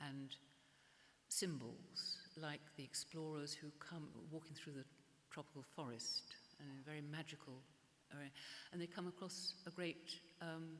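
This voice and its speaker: An older woman art historian speaking at a public gallery conversation, halting and often restarting her words. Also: low-pitched, a bit bright. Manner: hesitant, restarts and repeats words. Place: gallery event space before an audience. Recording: echoey hall, faint hiss.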